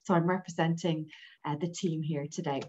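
A woman's voice: sits low, fairly even intonation, moderate pace, darkish tone. A woman with an Irish accent giving an informal academic presentation over a video call, hesitating often. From Ireland. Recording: dull lo-fi online call audio.

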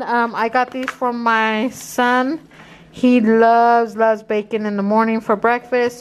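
A woman's voice: fairly high pitch, a little hiss or hum. A woman in her thirties chatting casually at home as she shows her grocery haul to the camera, rambling with fillers. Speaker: vlogger. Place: home kitchen, indoors.

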